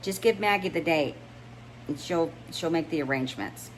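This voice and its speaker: A woman's voice: low voice, normal speed, lightly acted, poor audio. Woman reading as friendly office lady heroine in a romance book, casual, polite, little bit of noise. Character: composed, friendly professional woman, novel's heroine. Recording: noticeable background noise.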